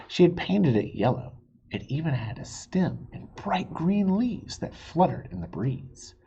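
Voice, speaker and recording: A man reading a children's storybook aloud in a playful, expressive way, stretching words for emphasis. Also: on the high side, unhurried, muffled recording, slight background noise. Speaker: reader of a children's book. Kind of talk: animated storybook read-aloud with asides.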